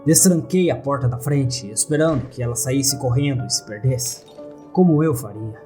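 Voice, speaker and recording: A man's voice: medium pitch, normal speed, dark tone, soft background music. A man with a Brazilian accent reading a scripted first-person horror story as narrator.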